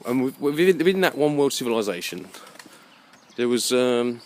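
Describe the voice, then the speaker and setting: A man giving a rambling, unscripted monologue in a halting, musing way, often repeating his phrases. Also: medium pitch, animated, a little hiss or hum. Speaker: vlogger.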